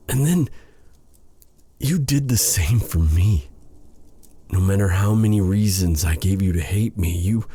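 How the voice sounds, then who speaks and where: A man playing a lovestruck, self-doubting exiled dark sorcerer in an intimate fantasy audio roleplay, breathy, halting and formal in his phrasing. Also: sits low, full character voice. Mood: tender, confessing. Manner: flustered, stammering, halting, formal old-fashioned phrasing.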